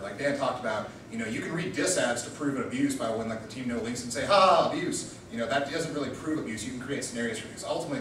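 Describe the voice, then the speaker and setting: A man's voice: medium pitch, far from the mic. A young man giving a joking, informal lecture on debate theory and quizzing his students. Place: classroom. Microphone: far room mic. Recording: echoey room recorded from afar with noise.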